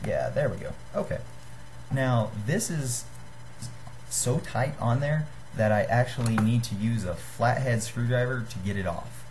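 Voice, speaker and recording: A young man giving a casual how-to demonstration to a webcam in a small room, halting and apologetic. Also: medium pitch, animated, darkish tone, slight background noise. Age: young adult. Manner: halting, apologetic, self-deprecating. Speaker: hobbyist giving a homemade gadget tutorial. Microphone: webcam or computer microphone at desk distance.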